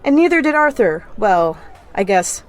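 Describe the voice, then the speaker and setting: A woman's voice: medium pitch, sing-song, normal speed, close to an everyday voice. A woman playing a coy, mysterious, alluring and unruffled lady at a bar in 1940s radio comedy style. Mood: teasing, knowing.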